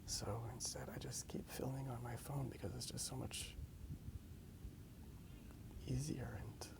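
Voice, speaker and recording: A man speaks in a half-whispered ASMR murmur with heavy vocal fry. He rambles hesitantly, often trailing off, as he hosts a casual drawing video outdoors on a handheld phone. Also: medium pitch, flat delivery, measured pace. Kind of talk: soft-spoken ASMR rambling. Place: outdoors in a park.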